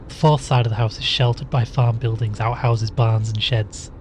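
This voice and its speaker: An actor playing an earnest young male investigator in an audio drama, narrating and questioning in a measured, deliberate, inquisitive way. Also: mid-range voice, steady tone, slight background noise, lightly acted. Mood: observant.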